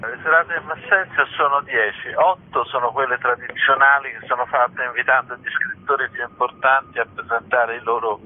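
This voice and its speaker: A man's voice: mid-range voice, steady tone, moderate pace, hardly any pauses. A man interviewed on the radio as a cultural institution's representative, explaining things in an articulate, orderly way. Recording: tinny, narrow phone line.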